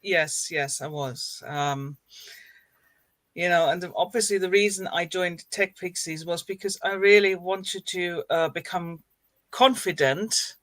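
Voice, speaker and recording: A woman's voice: on the low side, unhurried, a bit bright, dry recording. Cheerful German-born woman guest. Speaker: guest, life coach. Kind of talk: casual interview chat.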